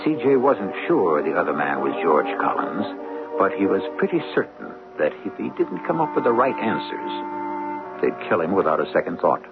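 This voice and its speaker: A man's voice: sits low, very animated, normal speed. An American man hosting and narrating a radio drama in a dramatic scripted style. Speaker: host and narrator. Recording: thin phone-like old radio broadcast. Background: loud music.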